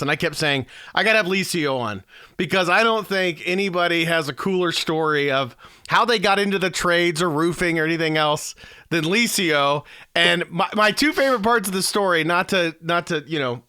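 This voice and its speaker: Upbeat man hosting, bright clear voice. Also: high-pitched, no room sound, clean studio sound. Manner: enthusiastic, rambling setup. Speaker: podcast host. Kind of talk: upbeat host introduction and chat.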